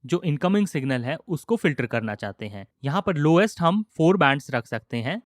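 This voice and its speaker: A man with an Indian accent narrating a software tutorial in a methodical, explanatory way, step by step. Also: on the high side, very animated, a little fast, a little hiss or hum. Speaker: music software instructor.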